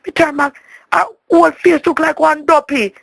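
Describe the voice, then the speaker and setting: Jamaican woman, expressive rambling comic storyteller, dramatic patois delivery, close dry sound. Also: high-pitched, moderate pace, creaky, no echo. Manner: dramatic, chatty, repeats words for emphasis.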